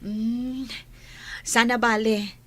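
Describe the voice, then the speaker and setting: A woman's voice: on the high side, flat delivery, extremely slow, a bit bright, full character voice. A woman with an Ilocano accent playing a polite young schoolteacher in a radio drama.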